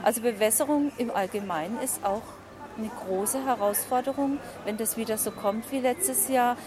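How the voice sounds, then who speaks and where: A woman's voice: a little high, moderate pace. A woman farmer and market seller answering interview questions informally at her outdoor stall. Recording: busy outdoor market chatter.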